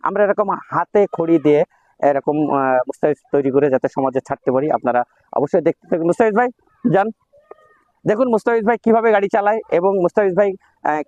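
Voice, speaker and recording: A man's voice: on the high side, a little mellow, no room sound, dull audio. A Bangladeshi man presenting as a driving instructor in a promotional vlog, with a repetitive, slogan-like sales delivery.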